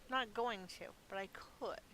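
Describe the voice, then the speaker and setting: A woman's voice: medium pitch, unhurried, bright, forceful tone, low-quality recording. A middle-aged woman giving casual, rambling gameplay commentary in a chatty, self-amused way, as if thinking aloud. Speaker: gaming video host. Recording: clearly audible background hiss.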